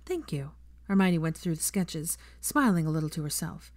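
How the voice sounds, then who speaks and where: A woman's voice: sits low, steady tone, moderate pace, a little mellow, no echo. A woman narrating a scripted story as an audiobook reader.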